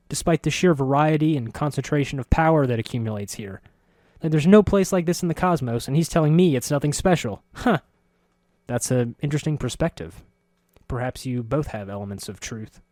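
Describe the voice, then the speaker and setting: A man reading a story voices a curious, sharp-spoken middle-aged woman who talks in a quick, insistent way. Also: mid-range voice, no room sound, studio quality, lightly acted. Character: curious sharp-spoken woman, neither young nor old, newcomer.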